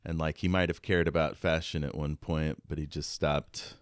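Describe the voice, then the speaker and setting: A man's voice: a bass voice, little pitch movement, normal speed, clear, resonant tone, no room sound, clean studio sound. A man co-hosting a podcast, musing casually about a book as he works through his notes and poses questions. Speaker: podcast co-host.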